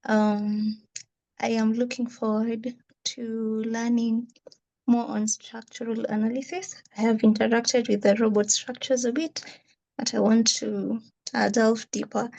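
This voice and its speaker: Slow, soft-toned woman from Kenya. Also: a little high, level tone, creaky, poor audio. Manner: soft, hesitant, many "um" fillers. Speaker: course participant. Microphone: video call.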